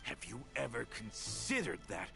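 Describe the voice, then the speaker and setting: An actor playing a bitter, grief-stricken traitor in a video game, with a gravelly edge and an accusing, dramatic delivery, voicing a vengeful grudge. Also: male voice, mid-range voice, wildly swooping pitch, normal speed, music in the background. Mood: taunting.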